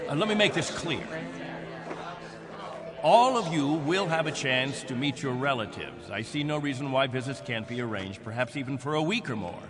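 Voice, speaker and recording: An actor playing a proud middle-aged leader of space colonists in a radio drama, formal, insistent and commanding. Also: male voice, medium pitch, moderate pace, a crowd in the background, natural voice.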